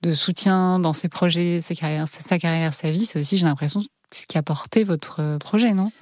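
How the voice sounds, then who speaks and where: Fast-talking woman podcast host. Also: lowish voice, steady tone, no echo. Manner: rapid, rephrases the guest's points back to her. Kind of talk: interviewing a guest.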